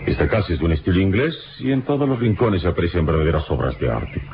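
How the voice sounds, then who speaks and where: An actor playing a calm, courtly, heroic adventurer in an old radio drama, measured and gallant. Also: male voice, deep voice, a little hiss or hum. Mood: admiring, calm. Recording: dull old radio recording, loud music.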